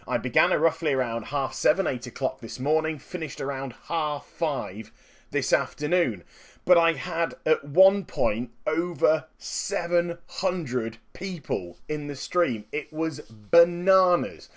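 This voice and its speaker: A man with a slightly croaky voice, giving upbeat, chatty commentary on his own card game match and explaining each move. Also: on the high side, animated, unhurried. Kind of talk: gameplay commentary.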